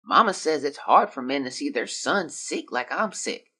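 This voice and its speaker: An actor playing a gravely ill, weary young boy who haltingly reads out his diary in an audio drama. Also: medium pitch, expressive, sharp tone. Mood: sick, drifting. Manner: weak, halting, drifting. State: feverish and exhausted.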